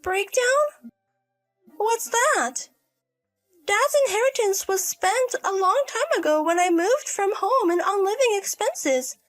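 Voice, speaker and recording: A woman's voice: extremely high pitch, expressive, slow, soft background music, pitched above the performer's own voice, heavily acted. A woman voicing a selfish, irresponsible young single mother in a story drama, wheedling and petulant. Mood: airy, defensive. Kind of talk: acted dialogue in a narrated drama story.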